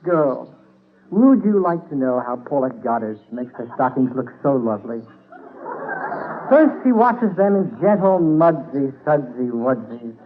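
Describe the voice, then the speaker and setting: A man's voice: medium pitch, big pitch swings, a little slow, pitched below the performer's own voice. A man playing an intimate, suave commercial crooner gushing cutely in a parody radio advertisement. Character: suave, cooing male commercial crooner selling stockings. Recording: noisy narrow-band 1940s radio recording.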